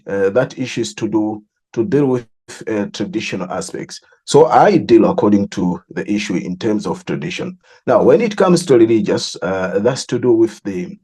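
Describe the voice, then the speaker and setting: Man, Malawian accent, fairly deep, husky, deliberate explanatory interview answers, repeated phrases. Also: a little mellow. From southern Malawi. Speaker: religious leader and mediator interviewee.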